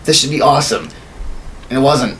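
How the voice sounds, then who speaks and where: A man's voice: mid-range voice, a little fast, a bit bright, a little hiss or hum, poor audio. A man in his thirties chatting casually, profane and ranting as a podcast co-host in a small room. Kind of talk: casual opinionated chat about films. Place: small home studio room, indoors.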